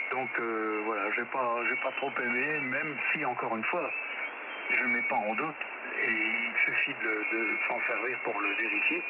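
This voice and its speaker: Guy rambling on ham radio, keeps correcting himself, tinny signal w tons of static. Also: mid-range voice. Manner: hesitant, digressive, self-correcting. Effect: shortwave radio transmission. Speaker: amateur radio operator. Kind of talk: casual radio chat, rambling monologue. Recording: very noisy, narrow-band shortwave radio link.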